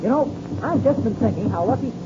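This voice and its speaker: An actor playing an earnest, anxious young co-pilot in a melodramatic 1930s radio serial. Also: male voice, very high voice, animated, brisk. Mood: alert. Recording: muffled, noisy old radio recording.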